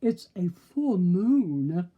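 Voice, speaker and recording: A woman voicing indignant, exasperated storybook wolves, huffy and loud, with drawn-out exclamations. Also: lowish voice, big pitch swings, lo-fi sound, heavily acted. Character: indignant, exasperated wolves, aghast at rude guests.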